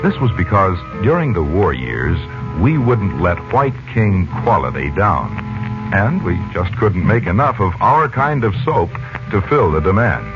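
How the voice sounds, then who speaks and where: A male announcer with a low, very animated voice delivers formal 1940s radio narration. His style is theatrical. The old broadcast sounds dull, with music clearly present. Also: a little slow.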